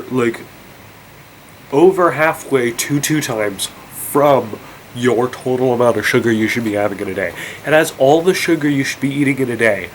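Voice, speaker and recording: A man's voice: mid-range voice, a little hiss or hum, rough recording. A congested young man vlogging, rambling and incredulous, with many fillers and restarts. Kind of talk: casual rant to camera.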